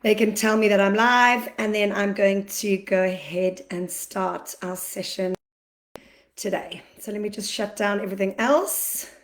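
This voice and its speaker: Slightly croaky woman on an echoey livestream. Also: mid-range voice, fairly even intonation, measured pace. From Australia. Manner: chatty, warm greetings to viewers, many fillers. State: slightly croaky from allergies, clears throat. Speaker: acupuncturist hosting a live Q&A. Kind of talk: casual livestream talk.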